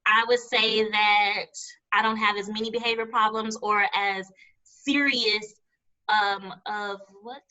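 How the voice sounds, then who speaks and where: Bright-voiced woman hosting a video call. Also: medium pitch, steady tone, takes it slowly, dull audio. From Alabama, US. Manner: friendly, direct, with some fillers. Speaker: host and teacher. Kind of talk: casual video-call chat. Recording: voice at a distance from the mic.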